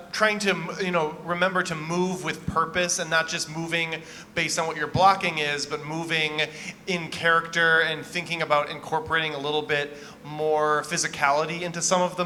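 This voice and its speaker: A man with a fairly high, bright, forward voice gives informal critique as a theatre judge. He is encouraging and searches for his words as he goes. There is faint noise behind him. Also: steady tone, moderate pace. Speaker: theatre festival judge giving feedback. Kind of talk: informal spoken critique to young performers.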